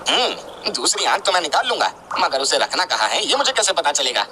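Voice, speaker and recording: Man as innocent devoted hunter, lively, noisy backdrop. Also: fairly high pitch, fairly quick, full character voice. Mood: resolute. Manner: earnest thinking aloud, self-questioning.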